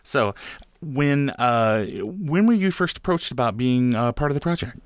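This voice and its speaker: A man's voice: mid-range voice, animated, measured pace, smooth, no room sound, studio quality. A man interviewing someone casually, joking and rambling as he goes. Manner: jokey, rambling.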